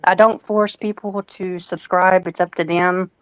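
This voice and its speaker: A woman's voice: fairly low pitch, fairly even intonation, a little slow, clear, resonant tone, no echo. A woman chatting casually as a guest on a live streaming panel. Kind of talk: casual chat.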